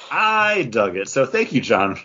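A man's voice: sits high, fairly bright tone, husky. A man co-hosting a podcast, giving his take on comic books in casual chat. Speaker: podcast co-host. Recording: dull lo-fi podcast audio.

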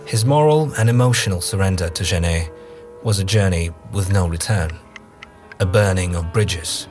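A man playing a solemn witness in an audio drama, recounting events in a grave, deliberate, literary way. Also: low voice, darkish tone, natural voice. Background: music.

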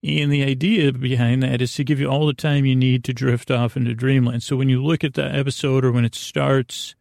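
A man hosting a bedtime podcast in a halting, digressive monologue, goofy and self-deprecating, with a creaky voice. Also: medium pitch, little pitch movement, a little mellow, no echo, studio quality. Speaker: podcast host.

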